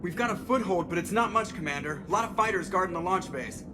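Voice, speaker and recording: Sardonic spaceship pilot. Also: male voice, sits high, lively intonation, quick pace, background noise. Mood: wry, confident. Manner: sardonic, informal. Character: wisecracking male spaceship pilot, anxious, protective. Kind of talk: video game voice acting.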